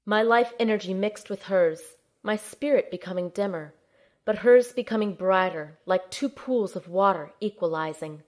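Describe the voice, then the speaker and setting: A woman playing a determined young heroine who narrates her own adventure in an audio drama, in a measured, earnest way. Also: medium pitch, close to an everyday voice. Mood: focused, earnest. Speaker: narrator and lead character. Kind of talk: scripted first-person audio drama narration.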